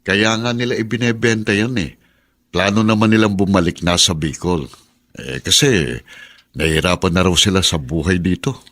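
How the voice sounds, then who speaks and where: A man's voice: sits low, moderate pace, fairly bright tone. A man playing a helpful, persuasive older male cousin in a Filipino radio drama, coaxing and matter-of-fact.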